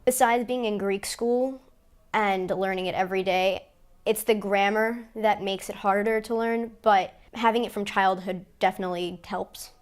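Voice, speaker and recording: A woman's voice: mid-range voice, fairly even intonation, normal speed, smooth. An eighteen-year-old woman, a music therapy student, speaking sincerely in a documentary interview. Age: young adult.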